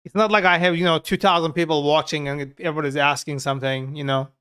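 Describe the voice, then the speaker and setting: Man, Turkish accent, slightly high voice, smooth clear tone, casual and profane, live coding chat. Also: fairly bright tone. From Turkey. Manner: frank and profane, talks to chat while coding. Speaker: software developer streaming live coding.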